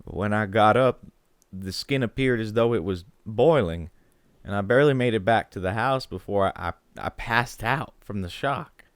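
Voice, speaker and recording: A man's voice: on the low side, normal speed, clear, resonant tone, dry recording, studio quality, lightly acted. An actor playing a haunted, stubborn rural man in a horror audio play, speaking with fearful intensity. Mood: shaken, recounting. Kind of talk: dramatic audio play acting.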